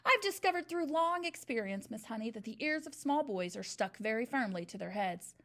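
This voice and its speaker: A woman's voice: a little high, lively intonation, brisk. A woman voicing a huge, furious, bullying headmistress who barks and snaps at children. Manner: loud, barking, snapping.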